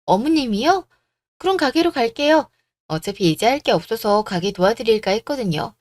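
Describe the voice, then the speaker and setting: A woman plays a composed young bride-to-be in a radio drama. The voice is fairly high and polite, recorded very dry. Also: animated, normal speed, a little mellow, no echo, natural voice. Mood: reassuring. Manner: courteous, composed. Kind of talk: Korean radio story drama.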